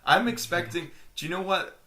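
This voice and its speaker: A man's voice: sits high, animated, a little fast, bright, forceful tone. A man hosting a casual esports chat, joking and steering the talk with questions. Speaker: podcast host.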